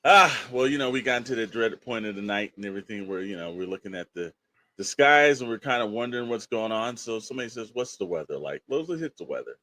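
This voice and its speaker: A man's voice: fairly low pitch, lively intonation, fast talker, sharp tone, no room sound. An American man co-hosting a podcast in casual, rambling talk peppered with verbal tags like "you know". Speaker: podcast co-host.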